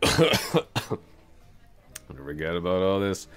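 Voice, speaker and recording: A man playing a drunk, lovestruck coworker in an intimate ASMR roleplay, rambling bashfully, halting, repeating himself and putting himself down. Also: low voice, very animated, fairly bright tone, full character voice. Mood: dejected, embarrassed.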